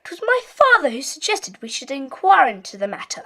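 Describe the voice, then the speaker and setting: High-pitched, bossy schoolboy club leader. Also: huge pitch swings, sharp tone, full character voice. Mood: earnest, serious. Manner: brisk, commanding, serious. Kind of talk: audiobook drama acting.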